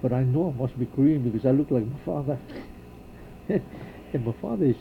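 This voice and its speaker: An older man from Hawaii answers interview questions, his voice gravelly with a rough edge of fry. He talks in a halting, reflective way, often pausing and starting over. The recording is muffled, with a faint hiss underneath. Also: mid-range voice, animated. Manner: halting, reflective, many pauses and restarts. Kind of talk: oral history interview.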